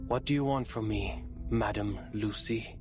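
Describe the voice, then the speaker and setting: A man's voice: on the low side, a little slow. A dry, sarcastic human man. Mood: sardonic. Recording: game audio with music behind.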